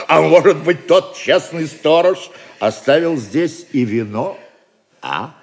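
Lively, teasing knight. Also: male voice, on the high side, heavily acted. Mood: merry. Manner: wry, courtly, bantering. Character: bold, teasing, good-humored wandering medieval knight. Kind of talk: theatrical audio drama acting.

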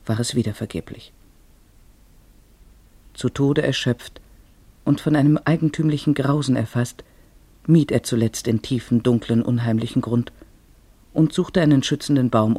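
Mellow, husky male radio-play narrator. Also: medium pitch, no room sound. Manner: measured, storytelling delivery.

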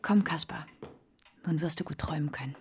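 An actress playing a solicitous, dreamy, restless woman of the house in a German radio play, with a breathy voice. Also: deep voice, a little fast, a little hiss or hum, lightly acted. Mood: dreamy, longing. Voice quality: breathy, often half-whispering.

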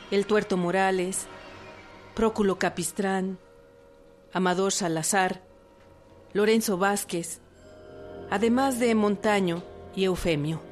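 A woman with a Mexican accent giving scripted documentary narration for a radio drama. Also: medium pitch.

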